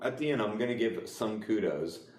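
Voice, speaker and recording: A man with a very low voice chats as a podcast co-host, sounding a little distant from the mic in an echoey room. He tells comic stories in a wry, wordy way, slipping into mock-formal phrasing. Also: lively intonation, moderate pace, darkish tone. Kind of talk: casual comedic podcast banter and storytelling.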